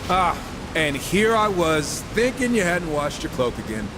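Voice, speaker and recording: A man's voice: on the high side, sing-song, measured pace, noisy background. An actor playing a wisecracking, irreverent horseman of the apocalypse in a game, with sarcastic, casual banter. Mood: sardonic. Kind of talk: video game cutscene acting.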